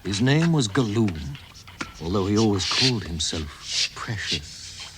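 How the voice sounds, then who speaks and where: A man narrating a fantasy tale as a measured storyteller in a vintage radio drama. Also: fairly low pitch, expressive, very noisy. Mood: storytelling. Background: music.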